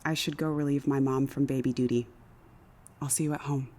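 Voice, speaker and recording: Woman acting cynical government insider, low-pitched, dry, composed, audio drama. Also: fairly even intonation, brisk, a little mellow. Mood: resigned.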